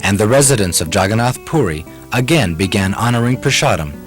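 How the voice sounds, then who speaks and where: A man narrating a puppet-show story from a script in a measured, solemn way. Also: fairly low pitch, lively intonation. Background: music.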